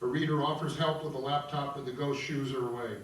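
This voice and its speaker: A man's voice: mid-range voice, steady tone, brisk, slight background noise. A man reading stage directions and explaining an opera to an audience as narrator of a table read. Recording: very echoey room, distant mic, music.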